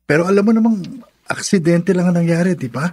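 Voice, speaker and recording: An actor playing a pleading, self-centred young lover in a Filipino radio drama, insistent and melodramatic. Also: male voice, sits high, animated, quick pace, darkish tone. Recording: music behind the voice.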